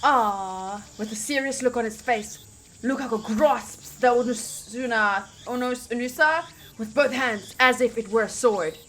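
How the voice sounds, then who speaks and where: A young woman reading visual novel narration aloud as a streamer, expressive and informal, with casual asides. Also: a little high, a little slow, slight background noise.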